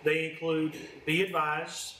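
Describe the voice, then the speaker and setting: A man's voice: a little high, level tone, slow, bright, forceful tone. A man giving a formal, scripted training presentation as a fire department instructor. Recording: roomy, distant mic, faint noise.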